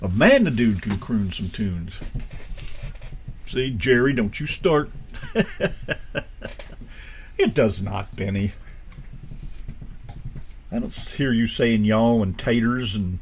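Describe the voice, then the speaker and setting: An American man co-hosting a radio show, chatting casually and joking, his voice jumping high and low. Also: animated, slow, pauses often, slight background noise. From United States. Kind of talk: casual banter.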